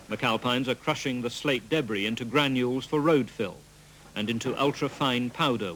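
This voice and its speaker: A man reads documentary narration in a steady, measured voice at a middling pitch. Faint noise sits under the recording. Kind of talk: scripted narration.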